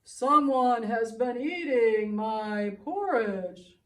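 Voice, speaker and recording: Woman as loud, indignant big Papa Bear. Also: a little high, lively intonation. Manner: loud, slow, emphatic. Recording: roomy, distant microphone.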